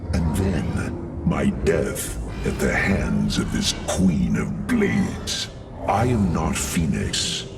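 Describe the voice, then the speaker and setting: An actor playing a huge, noble synthetic warrior with a gravelly, booming voice and a grave, formal manner in a game cutscene. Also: male voice, heavily acted. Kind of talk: dramatic video game cutscene acting. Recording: distant voice under music.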